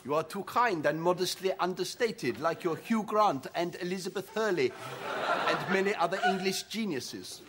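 An actor playing an earnest, guilt-ridden young German man with a German accent, who talks in stiff, over-formal phrases and bursts into shouted rants. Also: high-pitched, expressive, normal speed, very noisy. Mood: polite. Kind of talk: TV sketch comedy acting.

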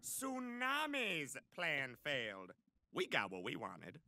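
A man voicing a gruff, blustery, emphatic rebel leader in a comedic video game parody. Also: on the high side, wildly swooping pitch, takes it slowly, bright, forceful tone, no room sound, exaggerated voice. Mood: pleased. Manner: emphatic, exclaiming.

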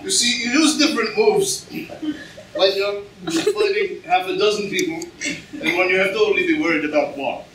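A man's voice: high-pitched, animated, normal speed. A man playing a huge, good-natured, simple-minded strongman in an amateur staged comedy reading. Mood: puzzled, chatty. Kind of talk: amateur staged reading of a comic fantasy play. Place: on stage in a hall, audience camera. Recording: echoey distant stage recording, noisy.

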